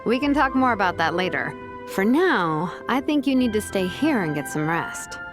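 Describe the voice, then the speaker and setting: A woman voicing a perceptive, protective big-sister figure in a video game, frank, measured and reassuring. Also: medium pitch, very animated, over music, close to an everyday voice. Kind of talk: scripted video game voice acting.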